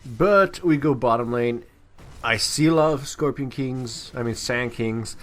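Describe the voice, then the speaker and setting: A man's voice: medium pitch, slow, a bit bright. A man giving casual, off-the-cuff gameplay commentary, rambling and correcting himself in crude, slangy language. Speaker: gaming video commentator.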